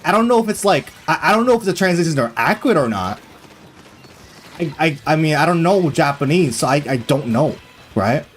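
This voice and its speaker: A young man chatting casually on a live gaming stream, excitable and loose, full of fillers and slang, swearing freely. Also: a little high, slight background noise.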